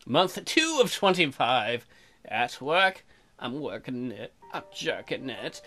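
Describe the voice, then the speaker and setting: A man's voice: mid-range voice, sing-song, slow pace, bright, forceful tone, faint music behind. A man hosting a comedic let's play, reading game text aloud with crude, irreverent side remarks.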